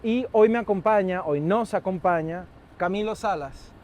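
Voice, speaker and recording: A man's voice: high-pitched, a little mellow. A man hosting an interview outdoors on a rooftop, friendly and talkative. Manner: friendly, talkative, quick to joke. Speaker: host. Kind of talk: casual interview.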